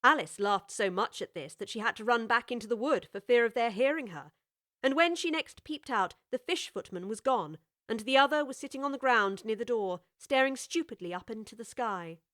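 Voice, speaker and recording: A woman narrating a storybook with clear, expressive scripted storytelling. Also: a little high, moderate pace, no echo, studio quality.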